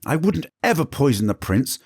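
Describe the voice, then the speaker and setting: An indignant male cook, raised in pitch and volume above the reader's usual voice, protesting his innocence with mounting desperation, played by the narrator. He talks fast and hot. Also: very animated, breathy edge, dry recording, clean studio sound. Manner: defensive, indignant.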